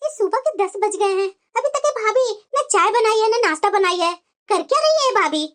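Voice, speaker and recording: An extremely high-pitched cartoon young wife played by a woman. She is whiny, bossy and sharp-tongued, complaining with strong emphasis. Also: animated, brisk, soft background music. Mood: indignant surprise. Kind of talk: Hindi moral-story cartoon acting.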